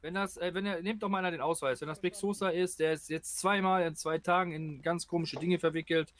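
Fast talking guy playing a cop, bit high voice, accusing, hmms through math. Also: fairly even intonation, fairly bright tone. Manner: quick, accusing, hesitant while calculating. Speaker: roleplay police officer.

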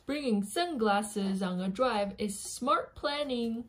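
A woman voicing an excitable, fussy elephant who loves planning, in a deliberate, emphatic picture-book read-aloud. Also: fairly high pitch. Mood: bossy, planning. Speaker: parent reading aloud with their child. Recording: noisy home recording with clicks.

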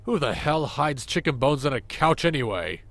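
A man acting as a crude male boss in an audio drama. He speaks in a deadpan, sarcastic way at a middling male pitch, like someone worn down by his marriage. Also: normal speed, creaky, dry recording, heavily acted.

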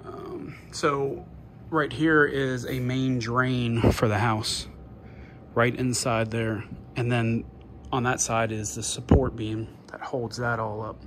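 A man casually narrating a walk-through tour of his basement game room, offhand and pausing often. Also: medium pitch, fairly even intonation. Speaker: homeowner giving a video tour. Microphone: handheld phone, behind the camera. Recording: noisy indoor phone video.

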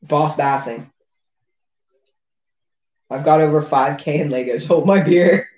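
A young man with a slightly high voice chats on a livestream as co-host. He teases in casual slang, and the room around him rings with clear echo. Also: slow, a little mellow, dull audio. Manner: teasing, slangy banter. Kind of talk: casual chat. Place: living room at a table, indoors. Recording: echoey room.

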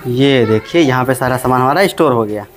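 A man's voice: medium pitch, a little fast, background noise. A man hosting a casual unboxing vlog, chatting with viewers, calling them brother and repeating his points for emphasis. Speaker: vlogger.

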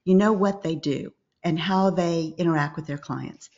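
An older woman, a business coach, speaking calmly and instructively as a guest in a video-call interview. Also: lowish voice. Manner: calm, measured and instructive. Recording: slightly dull video-call audio.

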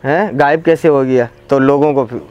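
A man's voice: mid-range voice, normal speed, darkish tone. A man telling an interviewer about strange happenings on his land, talking hesitantly with many fillers and restarts, recorded outdoors by a film crew. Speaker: local farmer interviewee. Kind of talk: recounting events in casual conversation. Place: outdoors by a walled rural plot. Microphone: field recording by a camera crew.

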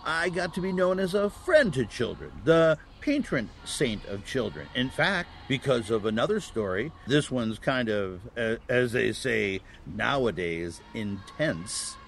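Kindly, elderly Saint Nicholas male actor for a family audio drama: gravelly, lively, avuncular. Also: medium pitch, unhurried, few pauses, a little hiss or hum, heavily acted. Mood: patient, kindly. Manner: avuncular, patient explaining with chuckles and hesitations.

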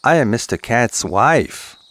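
A man's voice: medium pitch, very animated, slow, fairly bright tone. A man voicing a courteous female fox, a protective wife who gives warnings in a fairy tale. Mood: apologetic warning.

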